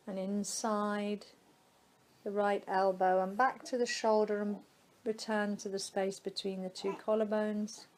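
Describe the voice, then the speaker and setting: A woman leads a guided relaxation meditation at a very slow pace. Her delivery is soothing, with long pauses left between short phrases. The pitch sits in the middle range for a woman. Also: a little mellow, slight background noise, low-quality recording. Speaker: yoga nidra guide.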